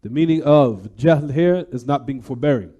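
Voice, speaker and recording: A man's voice: medium pitch, moderate pace, dark tone, lo-fi sound. A man giving a religious lecture, explaining a text in a didactic way and posing rhetorical questions to his listeners.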